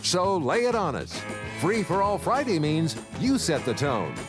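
A man's voice: sits high, big pitch swings, a little slow. A man announcing a scripted radio programme introduction. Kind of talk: scripted radio promo narration. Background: music bed.

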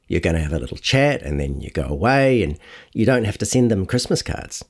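A man's voice: deep voice, sing-song, fairly quick, a little mellow, full character voice. A man voicing a wry, candid veteran woman broadcaster, with dry and theatrical emphasis.

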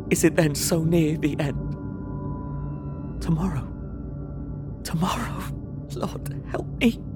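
A man's voice: medium pitch, natural voice. A young man playing a frightened Englishman who narrates his ordeal in an earnest, tense way for an audio drama. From England. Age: young adult. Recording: music score under the voice.

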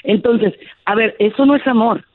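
A woman's voice: a little high, moderate pace. A woman, a psychologist guest explaining relationship advice steadily on a radio show. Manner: explanatory, steady, asks "¿me explico?". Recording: phone line.

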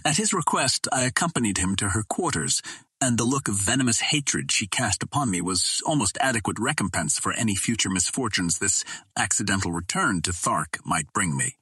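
A man reading a first-person adventure story as a dramatic, expressive audiobook narrator. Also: fairly low pitch, moderate pace, breathy edge, no echo.

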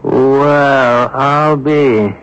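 An actor playing a self-assured amateur sleuth in a 1970s radio mystery, musing and deliberate in his deductions. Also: mid-range voice, steady tone, clear, resonant tone. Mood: intrigued, questioning. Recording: dull lo-fi 1970s radio broadcast.

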